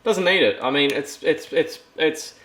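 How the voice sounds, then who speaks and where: A man talking through a build report in a casual, rambling way, full of ums and restarts, his voice croaky from having partly lost it. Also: on the high side, a little slow, fairly bright tone, roomy sound, a little hiss or hum.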